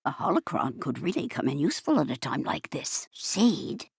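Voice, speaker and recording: A woman's voice: sits low, sing-song, moderate pace, vocal fry, darkish tone, husky, no room sound, studio quality, heavily acted. An actress voicing a prim, bookish, fussy and wry elderly female Jedi archivist in a video game, speaking formally and theatrically. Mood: worried, formal. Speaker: game character voice actor. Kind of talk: scripted video game character lines.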